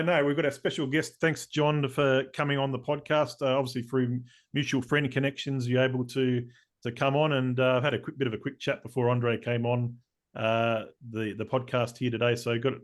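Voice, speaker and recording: An Australian man hosting a podcast, speaking in a loose, hesitant way with many "uh"s. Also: medium pitch. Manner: loose, hesitant, frequent "uh" and restarts. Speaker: podcast host.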